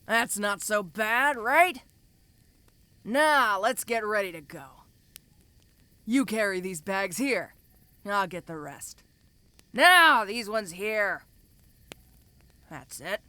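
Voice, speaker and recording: A woman's voice: mid-range voice, sharp tone, no room sound, exaggerated voice. A woman voicing a domineering giant ogre mercenary in an audio roleplay, speaking to the listener in a casual, commanding, teasing way. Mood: firm, coaxing. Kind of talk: first-person audio roleplay addressed to the listener.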